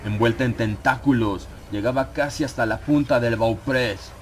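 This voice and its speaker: A man narrating a dramatized horror audiobook in a grave, theatrical manner with dramatic pauses. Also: medium pitch, background noise. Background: background music.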